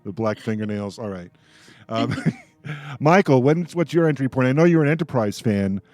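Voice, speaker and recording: A man's voice: mid-range voice, lively intonation, normal speed, a little mellow, dry recording, faint music behind. A man in his sixties hosting a radio panel, chatting casually and circling back as he rambles through questions and memories. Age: 60s. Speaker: radio host. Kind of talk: casual chat, moderating a panel.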